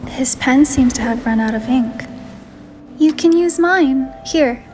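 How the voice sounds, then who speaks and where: A woman's voice: high voice, slow pace, darkish tone, slight background noise. A woman playing a shy, curious young heroine in a game story, speaking her dialogue and inner thoughts. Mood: hesitant, polite. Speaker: voice of the player heroine, fan narration. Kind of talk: acted visual novel dialogue and inner monologue.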